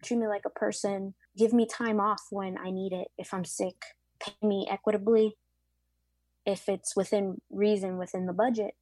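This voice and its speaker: A woman's voice: medium pitch, level tone, normal speed, a little mellow, no echo. A woman in her late twenties, a pastry chef being interviewed, speaking earnestly and reflectively with frequent fillers. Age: late 20s. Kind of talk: interview conversation.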